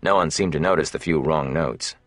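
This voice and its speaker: Deep-voiced man narrating fantasy. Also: brisk, no echo, soft background music. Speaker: audiobook narrator. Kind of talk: dramatic scripted fantasy narration.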